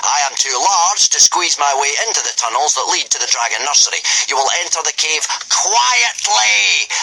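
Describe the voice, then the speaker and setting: A man voicing a big, loud, bullying Viking instructor in a children's audiobook, commanding and briskly cheerful. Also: on the high side, expressive, vocal fry, no room sound, pitched above the performer's own voice, heavily acted, poor audio. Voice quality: full-volume, forceful.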